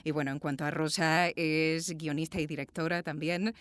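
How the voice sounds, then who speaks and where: A woman's voice: deep voice, steady tone, moderate pace, no room sound. A woman hosting a podcast interview, effusive and chatty. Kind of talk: warm podcast presenting and interviewing. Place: small sound booth.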